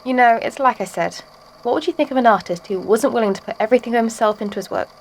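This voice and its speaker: Actress as serene, macabre woman artist for audio drama; high pitch, chatty menace, faint noise behind. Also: fast talker, lightly acted. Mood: smug. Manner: chatty, musing, blithely menacing. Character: serenely macabre female sculptor of living bodies. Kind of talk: audio drama monologue, conversational.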